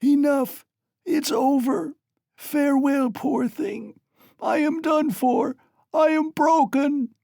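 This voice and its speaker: A reader voicing a dying, delirious mother, hoarse and gasping, who shrieks and wails. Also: high-pitched, slow pace, mellow, no room sound, studio quality, pitched above the performer's own voice, full character voice. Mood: shrieking. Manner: shrieking, frantic, wailing.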